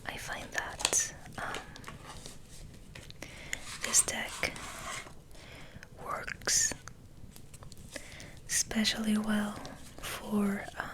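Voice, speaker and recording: A woman hosting ASMR, rambling in a soft, breathy, hesitant whisper. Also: medium pitch, completely flat delivery, very slow, long pauses, low-quality recording. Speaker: ASMR video host.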